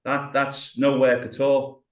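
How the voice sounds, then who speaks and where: A British man in his fifties coaching a client through floor exercises on a video call, calm and encouraging, step by step. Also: medium pitch, takes it slowly. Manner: encouraging, talks a client through each movement step by step, says mate. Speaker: rehab coach. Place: home room, on the floor. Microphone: video call webcam.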